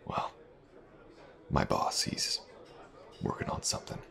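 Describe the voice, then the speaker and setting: A man playing a tough, teasing fantasy mercenary in an audio roleplay, speaking in an unhurried, gravelly murmur with pauses. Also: a bit bright. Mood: calm, assured. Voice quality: gravelly, breathy low murmur. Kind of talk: immersive fantasy audio roleplay, intimate improvised monologue.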